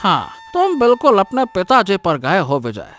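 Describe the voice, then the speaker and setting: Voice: medium pitch, wildly swooping pitch, moderate pace, fairly bright tone, faint music behind. A performer voicing a grateful older village uncle in a cartoon story, effusive with praise. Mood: grateful, approving. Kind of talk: Hindi cartoon story dialogue.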